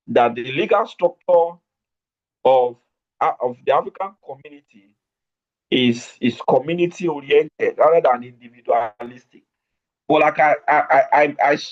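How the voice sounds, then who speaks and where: A man lecturing a law class online, stretching out key words with deliberate emphasis. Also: on the high side. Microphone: remote call over network. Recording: dull lo-fi online audio.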